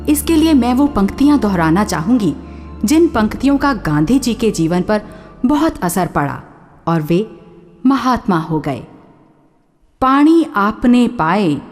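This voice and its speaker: A woman narrator of a radio drama with a mid-pitched voice and a slow, measured delivery. She explains things to listeners in a scripted, didactic style, with faint music behind her. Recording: soft music under the voice.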